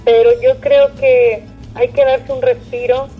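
A woman's voice: high voice, level tone, a little slow, lo-fi sound, faint music behind. A woman from Mexico with a Mexican accent, speaking casually and conversationally as an interview guest. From Puerto Vallarta, Mexico.

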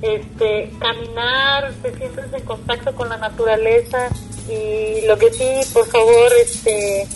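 A woman's voice: fairly high pitch, little pitch movement, slow pace, faint music behind, rough recording. A woman from Mexico with a Mexican accent, speaking casually and conversationally as an interview guest. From Puerto Vallarta, Mexico.

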